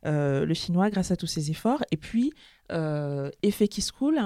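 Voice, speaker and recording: A woman hosting a podcast, leading a casual interview with quick, engaged interjections. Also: low-pitched, very animated, warm tone, dry recording, studio quality. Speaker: podcast host.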